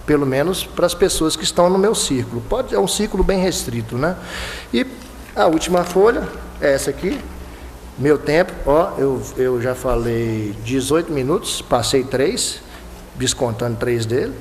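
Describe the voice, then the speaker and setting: A man's voice: a little high, moderate pace. A man from the Minas Gerais and Bahia border with that regional Brazilian accent, an electoral prosecutor arguing emphatically in a lecture-hall debate. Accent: Brazilian Portuguese, Minas–Bahia border. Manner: emphatic, animated, bursts of rising indignation. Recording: long hall reverb, faint noise.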